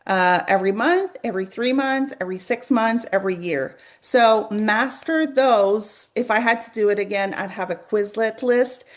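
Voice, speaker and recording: A woman's voice: mid-range voice, normal speed, few pauses. Chatty woman giving study tips. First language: French. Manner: chatty, encouraging, many fillers and restarts. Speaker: real estate agent. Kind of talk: casual tutorial vlog.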